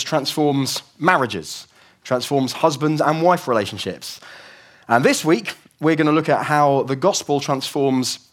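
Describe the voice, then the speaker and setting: A young man preaching a conversational, explanatory sermon in a church service, his voice croaky from a cold. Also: medium pitch. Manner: conversational, explanatory, with frequent restarts.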